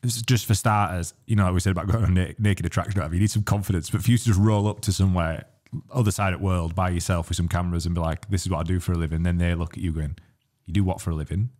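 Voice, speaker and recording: A man's voice: deep voice, fairly even intonation, very fast, darkish tone. A man with a Northern English accent, co-hosting a podcast with casual questions and colloquial, blokey banter. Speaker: podcast co-host. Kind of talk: casual chat, asking questions.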